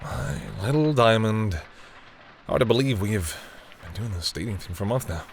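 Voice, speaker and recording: A man playing a flustered, tender and grudgingly fond vampire boyfriend in an intimate roleplay audio, hesitant and teasing, with a husky voice. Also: on the low side, animated, moderate pace, a little hiss or hum, lightly acted. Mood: fond banter. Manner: hesitant, easily embarrassed, teasing.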